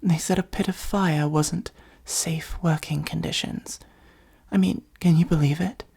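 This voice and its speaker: Supervillain for ASMR roleplay, acted by a performer: soft, dark and creaky, breathy near-whisper, pompous monologuer with sardonic asides. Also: medium pitch, steady tone, a little slow. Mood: wry, concerned. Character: theatrical gloating supervillain, secretly fond of the hero.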